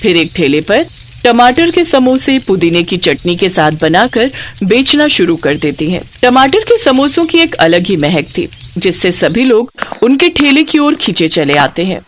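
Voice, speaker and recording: A woman's voice: mid-range voice, big pitch swings, unhurried. Woman storyteller, noisy recording. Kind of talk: scripted moral-story narration.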